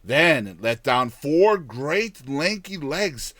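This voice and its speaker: Theatrical male storyteller, very animated, unhurried. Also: a little high, dry recording. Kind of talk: dramatized audiobook narration.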